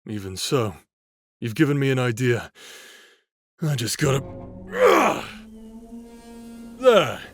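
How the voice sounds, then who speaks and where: A man's voice: medium pitch, huge pitch swings, slow pace, long pauses, no echo, clean studio sound, heavily acted. A man playing a brash, irritable, cocky fighter in an audio drama, blunt and sarcastic. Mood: irritated. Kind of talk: anime audio roleplay acting.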